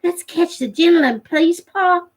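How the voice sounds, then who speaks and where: A woman's voice: very high voice, measured pace, pitched above the performer's own voice. A woman voicing an eager young backwoods boy in a storybook, pleading in rural dialect.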